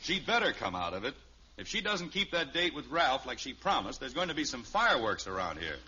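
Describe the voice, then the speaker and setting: A man's voice: mid-range voice, very animated, a little fast, sharp tone. An actor playing an indignant, mock-pompous family father in a 1950s radio sitcom. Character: Jim, exasperated, proud middle-class family father. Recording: muffled old radio recording, faint hiss.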